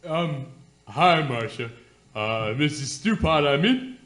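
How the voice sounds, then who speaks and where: A man's voice: fairly high pitch, expressive, takes it slowly, fairly bright tone. Man acting a nervous caller, echoey sound. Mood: nervous, earnest. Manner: hesitant, earnest. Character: nervous, polite new father phoning a radio show. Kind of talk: comic community-theater radio play.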